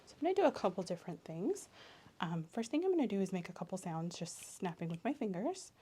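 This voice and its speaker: Soft-spoken, mellow woman doing clinical ASMR. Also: medium pitch, big pitch swings, quick pace, breathy edge. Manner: soft, explanatory clinical talk, often dropping to a whisper. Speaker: examiner in a medical exam role-play.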